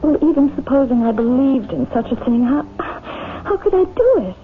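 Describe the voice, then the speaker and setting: An actress playing a troubled married woman haunted by guilt, speaking hesitantly and haltingly in old-time radio drama style. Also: high-pitched, sing-song. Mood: hesitant, despairing. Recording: noisy, tinny old radio drama recording.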